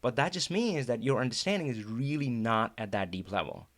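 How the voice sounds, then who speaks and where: A middle-aged man from India with an Indian accent, Hindi his first language, hosting a live talk in a chatty, animated way with frequent laughs. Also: mid-range voice, a little fast. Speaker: host.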